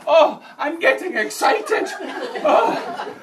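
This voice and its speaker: A woman's voice: on the low side, animated, takes it slowly. Woman as a fussy male bank boss in a stage farce, stammery, agitated, drags the words out, mic is far off. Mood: frantic. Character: flustered, exasperated male bank manager. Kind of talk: broad comic stage acting. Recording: distant mic, faint background noise.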